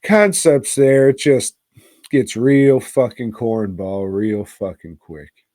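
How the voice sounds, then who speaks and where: A man's voice: medium pitch, takes it slowly, noisy background. A man hosting a casual movie review podcast, talking in a crude, slangy, rambling way with plenty of swearing.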